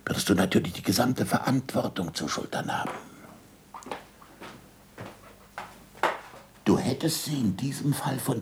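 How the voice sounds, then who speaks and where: An older actor playing a weary, disgraced scientist in a German radio play, guarded and bitterly ironic, with a gravelly, often hushed voice. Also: male voice, on the low side. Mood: secretive.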